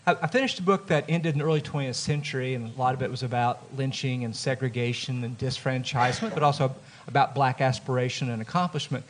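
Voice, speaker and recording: A man's voice: medium pitch, fast talker, slight background noise. A man with a Southern US accent, an author speaking earnestly on a panel at a live event. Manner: earnest, explanatory. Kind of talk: panel talk. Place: festival event room.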